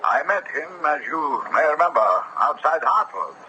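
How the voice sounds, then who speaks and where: High-pitched, emphatic gentleman, acted by a man. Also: expressive, normal speed, close to an everyday voice. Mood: matter-of-fact. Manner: formal, emphatic, punctuated with "sir". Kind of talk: old-time radio drama acting. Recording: noisy vintage radio drama broadcast.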